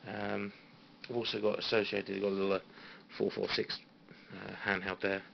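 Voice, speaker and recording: A British man giving a casual, halting, understated tour of his hobby equipment, as an enthusiast showing viewers around. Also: fairly low pitch, flat delivery, gravelly. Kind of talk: casual informal show-and-tell. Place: small radio room at home. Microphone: handheld phone. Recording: dull phone recording with faint noise.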